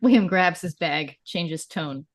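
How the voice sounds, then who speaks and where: A woman's voice: on the low side, lively intonation, a little slow, no echo, studio quality. A woman giving scripted narration, reading out stage directions at a table read.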